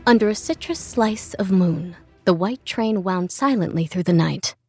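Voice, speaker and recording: A woman reading scripted literary fiction as an audiobook narrator, with measured, expressive storytelling. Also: medium pitch, soft background music. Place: dry studio.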